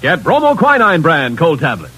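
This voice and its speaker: A man's voice: a little high, huge pitch swings, normal speed, music in the background. A man reading a scripted 1950s radio commercial in an emphatic selling manner. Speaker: commercial pitchman. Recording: vintage radio broadcast.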